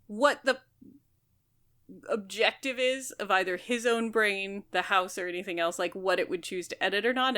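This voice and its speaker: A woman's voice: mid-range voice, fairly bright tone. A woman co-hosting a podcast, discussing a book casually and analytically, thinking aloud as she goes. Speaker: podcast co-host.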